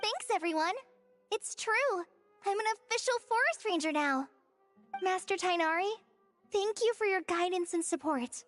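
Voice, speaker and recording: High-voiced earnest young forest ranger. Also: female voice, animated, normal speed, fairly bright tone, faint music behind. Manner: polite, earnest. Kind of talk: video game voice acting.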